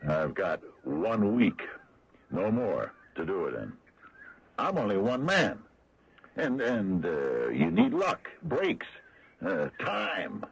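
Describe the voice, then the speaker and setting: Gravelly, very deep-voiced sheriff. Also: male voice, wildly swooping pitch, full character voice. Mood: exasperated. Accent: American. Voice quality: gravelly, husky. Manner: folksy, halting, grumbling. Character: gruff, stubborn small-town American sheriff, skeptical and beleaguered. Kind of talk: old-time radio drama acting. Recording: dull vintage radio broadcast recording.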